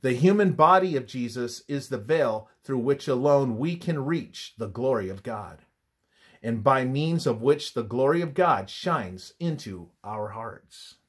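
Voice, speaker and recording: Man, husky voice, medium pitch, scripted religious narration, measured teaching manner, soft phrase endings. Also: lively intonation. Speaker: reader of a religious study.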